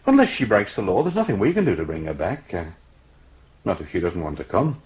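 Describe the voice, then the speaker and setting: An actor playing a hesitant, placating English police sergeant who stammers a little in a radio drama. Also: male voice, lowish voice, huge pitch swings, brisk, a little mellow. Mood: patient, probing. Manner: stammering, hesitant, patiently reassuring.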